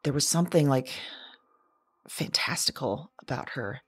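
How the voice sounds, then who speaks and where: A woman playing a lonely, haunted character who keeps an audio journal in a drama, with a halting, intimate, confessional delivery. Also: rumbling low, animated, husky, no room sound, heavily acted. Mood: wondering. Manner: halting, hesitant, hushed reflective delivery.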